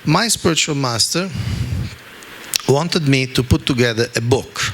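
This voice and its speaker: A man with an Italian accent, speaking English as a second language, teaches a scripture class with lively, self-deprecating asides. His voice is bright and forceful. A faint hum of room noise sits behind him. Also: mid-range voice, a little slow. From Italy. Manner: animated, self-deprecating, frequent "okay?" asides. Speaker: spiritual teacher.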